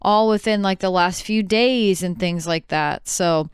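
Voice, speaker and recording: A woman's voice: on the low side, a little slow, clean tone, no echo, clean studio sound. A woman podcast host talking casually and conversationally, explaining things to her co-hosts and listeners.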